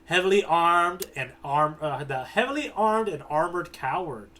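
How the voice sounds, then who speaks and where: A man co-hosting a podcast, recapping a story in casual, slangy and animated talk. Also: on the high side, moderate pace. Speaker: podcast co-host, tour guide. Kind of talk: casual recap chat about a manga.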